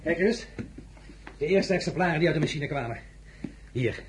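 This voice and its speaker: A man's voice: a little high, animated, normal speed, slight background noise, natural voice. Napoleon, swooping and grandiose played by a man. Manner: grandiloquent, teasing, theatrical. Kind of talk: Dutch radio drama acting.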